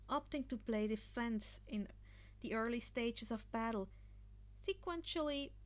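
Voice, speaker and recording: Fairly high-voiced woman reading a story aloud. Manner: stumbles over words and rereads. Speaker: amateur story narrator. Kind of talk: narration of fan fiction, with stumbles.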